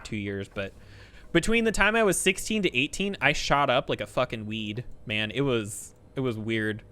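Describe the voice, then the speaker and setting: A man's voice: fairly high pitch, a bit bright. A man in his twenties chatting casually while playing a game, rambling, swearing freely and joking about himself. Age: 20s. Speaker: streamer.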